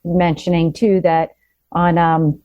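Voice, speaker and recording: A woman hosting a podcast, asking questions in a relaxed, conversational way. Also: lowish voice, takes it slowly, no echo. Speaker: podcast host. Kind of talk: casual interview chat. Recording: dry, dull lo-fi sound.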